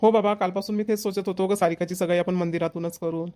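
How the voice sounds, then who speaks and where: An easygoing young man from a village cartoon comedy, voiced by a woman. He talks quickly with little melody, plain and obliging, in a Varhadi Marathi accent. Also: lowish voice, steady tone, mellow, natural voice. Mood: explaining. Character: easygoing, helpful young adult village son.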